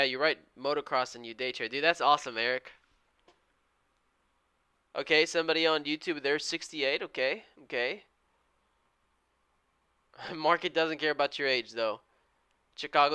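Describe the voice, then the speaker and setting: Bright, crisp-voiced young streamer guy. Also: medium pitch, no echo. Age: 20s. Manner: upbeat and friendly, slangy, thanks viewers often. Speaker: live-stream day trader. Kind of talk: casual live-stream chat, reading viewer comments.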